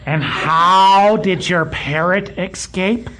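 A slow, pompous museum boss. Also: male voice, high-pitched, sharp tone, exaggerated voice, rough recording. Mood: needling. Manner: stammering, pompous, patronizing. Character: fussy, condescending, bald little museum boss. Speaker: museum supervisor. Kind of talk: comedic audio drama acting.

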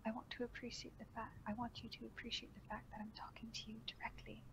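A woman voicing a calm, manipulative female seer in a horror story reading, in a whispery, soothing, slow hushed voice. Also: medium pitch, creaky, background noise, natural voice.

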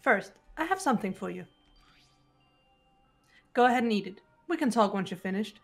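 A woman voicing a serious, guarded teenage girl detective in a visual novel game, cool and measured. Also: medium pitch, lively intonation, faint music behind. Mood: cool, composed.

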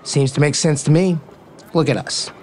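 Actor as easygoing, dim jock. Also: male voice, on the high side, normal speed, darkish tone, slight background noise, close to an everyday voice. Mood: breezy, flirting. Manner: casual, nonchalant, earnest. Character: easygoing, dim, cocky male athlete, womanizer. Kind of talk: romantic comedy audio drama acting.

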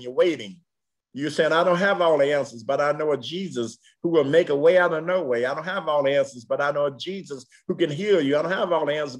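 A man in his sixties with a Southern US accent, a pastor preaching a sermon in rhythmic, repeated refrains. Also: mid-range voice. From Tennessee, United States. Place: room at home. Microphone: computer mic on video call.

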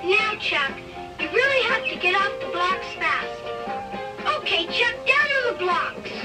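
A woman's voice: very high-pitched, lively intonation, unhurried. A woman voicing an earnest, plain-spoken cartoon schoolchild, heard from a vintage record played across a room. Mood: bossy. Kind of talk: cartoon read-along acting. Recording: distant vintage record playback over loud music. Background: music.

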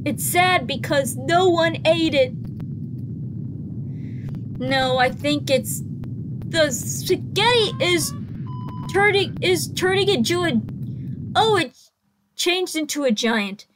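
High voiced young woman doing a reaction vid, slow pace, swoops all over, hams up the lines. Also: animated, fairly bright tone, poor audio. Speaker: reaction video host. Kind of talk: reading out captions and commenting on a video. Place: small indoor room. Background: music from the video being watched.

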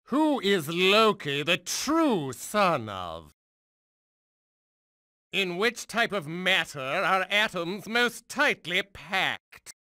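An actor voicing a megalomaniac mutant genius supervillain in a video game, condescending, mocking and theatrical like a cruel schoolmaster. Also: male voice, sits high, sharp tone, no room sound, clean studio sound, heavily acted. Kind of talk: theatrical cartoonish game voice acting.

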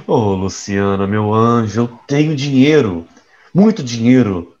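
A man playing a reckless young man in an audio drama, speaking casually in a medium-pitched voice. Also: big pitch swings, takes it slowly, a little mellow, lo-fi sound. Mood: confident. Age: young adult. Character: reckless young man caught in crooked dealings.

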